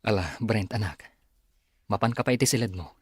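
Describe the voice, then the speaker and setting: An actor playing a father in an Ilocano radio drama, remorseful and defensive of his child, with a husky voice. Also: fairly low pitch, a little fast, no echo. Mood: reproachful, firm.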